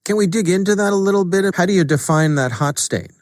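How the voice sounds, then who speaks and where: A man hosting a podcast interview, casual and conversational, repeating words haltingly as he frames his thoughts. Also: high-pitched, no echo, clean studio sound.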